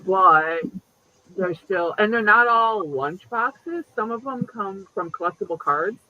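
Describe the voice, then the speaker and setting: A man in his late fifties with a high voice chats loosely, swearing freely and leaping up in pitch. The sound is dull and lo-fi, like a home stream. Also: a little slow, a little hiss or hum. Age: 50s. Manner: chatty and profane, lively pitch jumps. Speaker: host. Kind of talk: casual chat.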